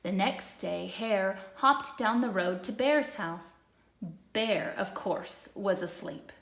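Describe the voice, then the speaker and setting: A woman narrating a children's storybook in an expressive read-aloud style. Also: medium pitch, slow, gravelly. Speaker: storybook reader. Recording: echoey room.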